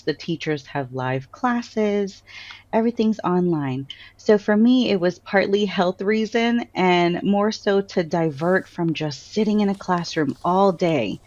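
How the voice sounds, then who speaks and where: A woman with an American accent, an author answering interview questions in a lively storytelling way and laughing often. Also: sits low, highs cut off. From Trinidad. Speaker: author and guest.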